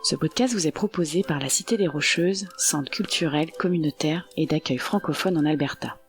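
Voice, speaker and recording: A podcast host reads a written introduction at a steady, measured pace. The voice has a gravelly texture, and faint guitar music plays underneath. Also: mid-range voice. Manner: measured, even reading. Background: soft guitar music.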